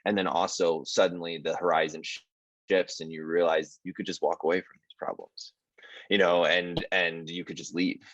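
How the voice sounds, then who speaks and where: An American man moderating a casual panel discussion, earnest and halting, with many fillers. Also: sits low, level tone, a bit bright, no echo, highs cut off. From United States.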